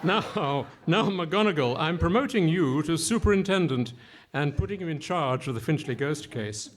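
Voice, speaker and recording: A man's voice: a little high, lively intonation, moderate pace, natural voice. A man playing a pompous, formal and nonsensical official in a comic radio play before a live audience. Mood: absurdly officious.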